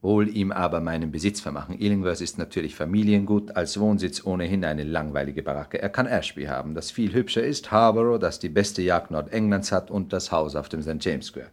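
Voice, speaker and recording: Man playing a deep-voiced, suave cynical lord. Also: a little fast, few pauses, darkish tone, natural voice. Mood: smug, worldly. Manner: polished, urbane, condescending. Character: suave, cynical aristocratic English lord, arrogant and worldly. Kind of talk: 1960s German radio play acting.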